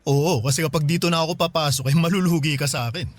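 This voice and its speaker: An actor with a Filipino accent playing a good-humoured, hardworking bachelor who gives wry retorts in casual radio drama banter. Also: male voice, a little high, steady tone, fast, natural voice. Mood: joking. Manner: wry, joking retorts among friends. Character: good-humoured, hardworking bachelor son, single male friend.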